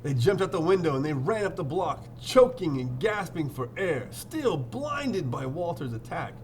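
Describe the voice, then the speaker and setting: A man's voice: fairly high pitch, very animated, moderate pace, a little hiss or hum, low-quality recording. A man teaching through a storybook read-aloud, playful and theatrical, repeating phrases and chatting to his pupils.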